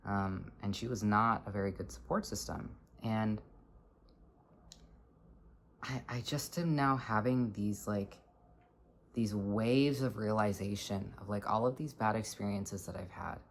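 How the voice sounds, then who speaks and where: A person in their twenties with a low voice, talking earnestly in a halting, reflective personal vlog. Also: a little hiss or hum. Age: 20s. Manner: earnest, halting, searching for words. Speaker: vlogger.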